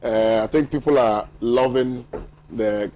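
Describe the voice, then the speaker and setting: A man's voice: mid-range voice, slow, mellow, slight background noise. A man with a Ghanaian accent hosting a morning TV show, reading viewer messages aloud and bantering with his panel with frequent laughter. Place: TV studio.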